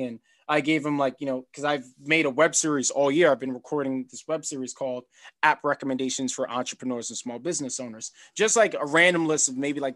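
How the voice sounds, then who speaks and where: A man's voice: medium pitch, a bit bright. A man hosting an interview podcast, talking in a rambling, conversational way and circling back on his words. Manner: rambling, conversational, repeats himself. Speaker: podcast host. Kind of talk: casual interview chat.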